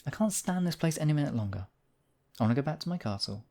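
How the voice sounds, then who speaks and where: A man playing a demon king in an intimate ASMR roleplay, husky and theatrical, with deliberate phrasing and lingering pauses. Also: fairly high pitch, lively intonation, warm tone. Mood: menacing, possessive. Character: demon king, menacing captor act turning tender, devoted lover.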